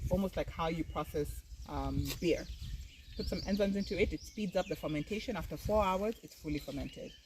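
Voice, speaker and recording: A tea farm guide outdoors, explaining tea processing in an informative, unhurried way. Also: mid-range voice, noisy background. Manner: informative, unhurried, uses fillers.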